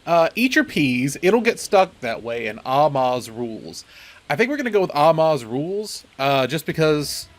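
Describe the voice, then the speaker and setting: Male horror game host, animated and wisecracking, slightly high voice, playful commentary and story reading. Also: moderate pace.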